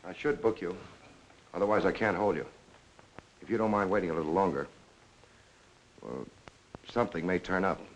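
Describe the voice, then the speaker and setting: An actor playing a blunt, hard-boiled police lieutenant in a 1940s film noir, with an American accent and a clipped, pressing way of talking. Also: male voice, low-pitched, moderate pace, pauses often, natural voice. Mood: gruff, guarded. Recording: noisy 1940s film soundtrack.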